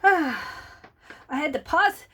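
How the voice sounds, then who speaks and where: A woman's voice: sits high, slow, fairly bright tone. A woman reading a picture book aloud to young children, playful and asking her listeners questions.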